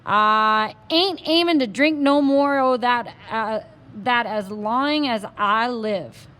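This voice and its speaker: A woman voicing a tiny, bitter, hard-drinking cowboy man with a Texas accent and a loud drawl. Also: on the high side, slow pace. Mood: angry.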